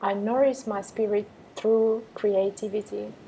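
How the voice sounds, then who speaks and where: A woman meditation teacher guiding affirmations in a calm, measured way with pauses between phrases. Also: medium pitch, gravelly, slight background noise, low-quality recording. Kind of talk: guided meditation with repeated affirmations.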